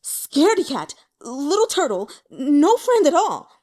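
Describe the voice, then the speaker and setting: A woman plays a naive, easily flustered young man in an audiobook. His voice sits very high and swoops around as he stammers and complains with melodramatic indignation. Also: wildly swooping pitch, unhurried, darkish tone, exaggerated voice. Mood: indignant. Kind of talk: audiobook character voice.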